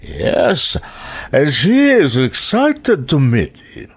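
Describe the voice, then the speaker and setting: A man acting in a drama as an older, courteous grandfather and employer, speaking in a deliberate, measured way. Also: fairly high pitch, wildly swooping pitch, slightly rough, heavily acted. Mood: welcoming. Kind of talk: radio drama acting in English.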